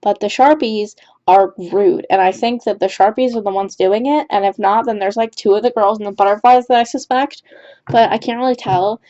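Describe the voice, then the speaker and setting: A woman's voice: mid-range voice, a little fast, warm tone. A teenage girl chatting casually while she plays, rambling with lots of fillers and restarts. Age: teens. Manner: rambling, filler-heavy, restarts often with "like" and "right?". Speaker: gaming YouTuber. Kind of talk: casual storytime chat over gameplay. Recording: dull lo-fi home mic.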